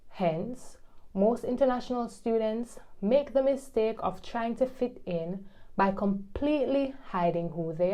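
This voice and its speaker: Young woman, vlog narrator, wry and chatty, mellow tone. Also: mid-range voice. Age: young adult. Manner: wry, chatty, with patois asides. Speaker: vlogger, international student.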